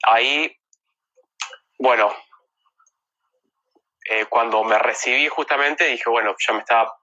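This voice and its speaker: A man's voice: medium pitch, slow, pushed and bright, dull audio. An Argentine man in his thirties chatting casually as an interview guest, rambling and correcting himself as he goes. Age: 30s. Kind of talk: casual chat. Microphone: phone with earphones on a livestream.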